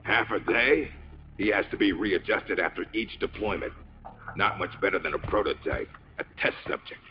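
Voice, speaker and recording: Cold, demanding commander type for a game, male actor, low-pitched, commanding, lo-fi sound. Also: moderate pace, slight background noise, natural voice. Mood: cold, impatient. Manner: curt, dismissive, commanding. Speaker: military officer. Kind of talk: scripted video game voice acting. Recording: muffled lo-fi game audio with music.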